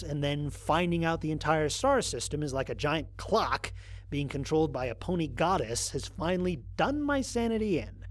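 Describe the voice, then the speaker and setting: A man playing a weary, wry human astronaut narrating his mission log in an audio drama, with a dry, expressive storytelling delivery. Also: a little high, dry recording. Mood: resigned, determined.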